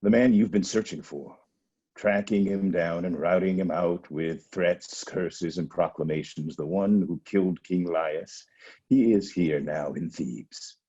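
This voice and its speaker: An actor playing a stern, foreboding blind old prophet in a dramatic reading over a video call, with a low, husky, deliberate delivery. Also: male voice, mellow. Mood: grim foreboding. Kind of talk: Zoom dramatic reading of a Greek tragedy. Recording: dull lo-fi video call audio.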